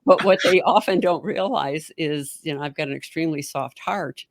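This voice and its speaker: A woman with a deep voice hosting an interview, talking in a very direct way. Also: normal speed, no echo. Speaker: podcast host. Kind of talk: interview conversation.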